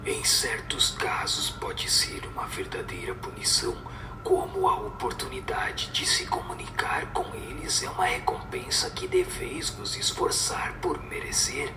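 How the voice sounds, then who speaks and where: A man playing a solemn spirit teacher in a radio drama, speaking in a measured, didactic and breathy whispered voice. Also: medium pitch, wildly swooping pitch. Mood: solemn instructive. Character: solemn male spirit teacher giving moral answers. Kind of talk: scripted radio drama recitation. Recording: echoing voice over music.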